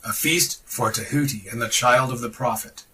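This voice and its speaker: A man reading a religious text aloud in a solemn, dramatic style, declamatory and deliberate, with emphatic pauses. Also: medium pitch, sharp tone, recorded from a distance, slight background noise, poor audio. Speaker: podcast reader of esoteric texts.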